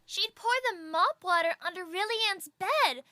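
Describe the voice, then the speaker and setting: Castle maid: young, outspoken gossip, high voice, audio drama, dry close sound. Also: female voice, unhurried, sharp tone, dry recording, full character voice. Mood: gossipy.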